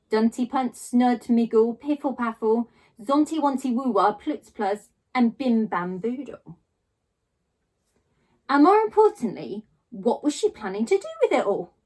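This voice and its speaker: A woman reading a children's story aloud in a theatrical way, with dramatic pauses and drawn-out emphasis on key words. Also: a little high, a little mellow. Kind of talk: expressive children's storybook narration.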